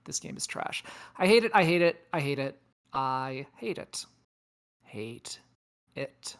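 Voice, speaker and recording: A woman playing a sensible, exasperated space probe who calms and chides the others in an audio drama table read. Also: a bass voice, takes it slowly, heavily acted. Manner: calming, chiding.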